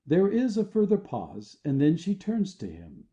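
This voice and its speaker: A man's voice: on the high side, wildly swooping pitch, unhurried, warm tone, lo-fi sound. A man reading stage directions as scripted narration for an audio play.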